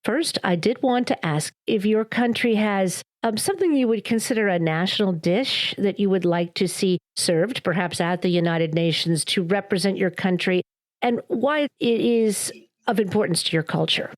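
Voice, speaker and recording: A woman's voice: medium pitch, normal speed. A woman hosting a podcast, reading polished narration and asking interview questions. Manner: polished, read-aloud delivery. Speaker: podcast host.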